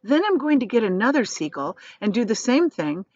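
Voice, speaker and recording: A determined young storybook boy, played by a woman reader, earnest and sure of himself. The voice is high and very lively in pitch, captured close and dry. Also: brisk, no echo, highs cut off. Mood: calm, confident. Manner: earnest, confident, explanatory. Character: calm, determined young boy explaining his plan. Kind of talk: children's storybook character reading.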